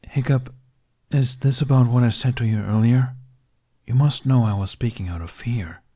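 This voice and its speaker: A man's voice: mid-range voice, normal speed, dark tone, pitched above the performer's own voice, natural voice. A man voicing an earnest, protective male dragon in an audiobook, insistent and emphatic. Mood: reassuring.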